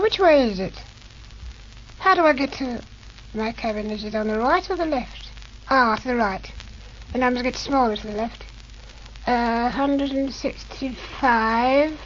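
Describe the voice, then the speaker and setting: A woman in a vintage radio play voicing Johnny, an eager, brave young boy who chatters excitedly. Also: sits high. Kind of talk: 1940s radio acting. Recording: dull noisy old radio recording.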